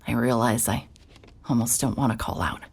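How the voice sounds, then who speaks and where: A young woman narrating a horror audio drama in first person, tense and breathless, dropping to whispers and breaking into sudden shouts. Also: a bass voice, normal speed, gravelly, husky. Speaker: narrator and lead character.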